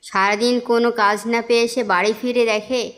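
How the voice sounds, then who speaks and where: A woman's voice: a little high, fairly even intonation, normal speed, fairly bright tone. A woman narrator reading a scripted story for a cartoon in a storytelling style. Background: music.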